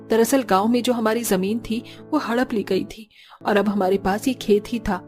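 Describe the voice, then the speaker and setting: Woman doing a kind older saas in a cartoon drama, soft music behind. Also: mid-range voice, natural voice. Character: kindly older village mother-in-law, gentle and understanding.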